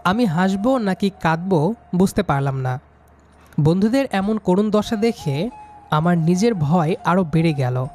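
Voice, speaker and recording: A man's voice: high voice, animated, a little slow, darkish tone. A man narrating a scripted first-person story as the storyteller. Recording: music behind the voice.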